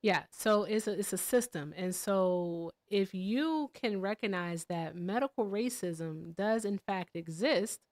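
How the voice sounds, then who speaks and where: A woman's voice: mid-range voice, measured pace, hardly any pauses, a little mellow. A woman in a heated call-in debate, lecturing and repeating herself for emphasis. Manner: lecturing, repeats "all right".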